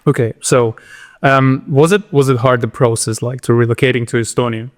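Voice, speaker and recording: A man's voice: medium pitch, steady tone, a little fast. A man hosting a casual interview, asking questions conversationally. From Latvia. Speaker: interviewer, host.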